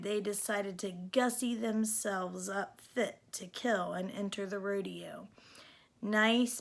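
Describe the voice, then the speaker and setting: A woman reading a children's storybook aloud in an expressive storytelling style. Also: a little high. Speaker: storybook reader.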